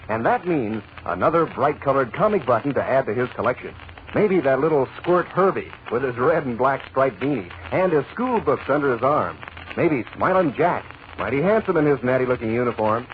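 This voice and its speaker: A man reading a folksy, chummy 1940s radio commercial with enthusiasm. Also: mid-range voice. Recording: muffled vintage radio, faint noise.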